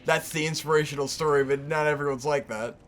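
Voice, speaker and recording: A man in his twenties chatting casually as co-host, animated and laughing easily, swearing for emphasis. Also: a little high, quick pace. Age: 20s. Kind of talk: casual chat.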